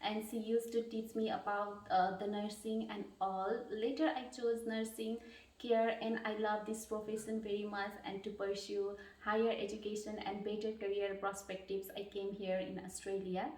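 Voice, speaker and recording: A woman's voice: mid-range voice, level tone, darkish tone. Earnest woman from Nepal, echoey and distant. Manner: earnest, hesitant, pauses with fillers and restarts. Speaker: job candidate. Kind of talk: mock job interview answers. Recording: echoey room, distant mic.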